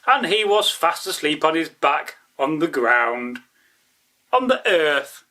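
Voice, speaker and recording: Slow, high-pitched man telling a folktale. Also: sing-song, sharp tone. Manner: deliberate, emphatic, repeats and builds phrases. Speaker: storyteller, channel host. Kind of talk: dramatic oral folktale storytelling.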